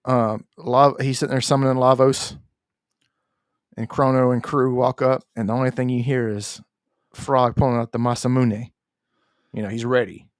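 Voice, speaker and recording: A man's voice: medium pitch, little pitch movement, normal speed, dry recording, clean studio sound. A man co-hosting a gaming podcast, talking in an informal, conversational way. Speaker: podcast co-host. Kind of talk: casual gaming podcast chat.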